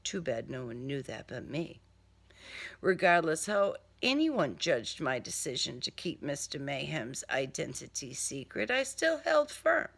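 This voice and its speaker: A woman narrating a first-person crime story in a deliberate, dramatic, sardonic manner. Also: deep voice, sing-song, vocal fry, fairly bright tone. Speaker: author reading own crime novel.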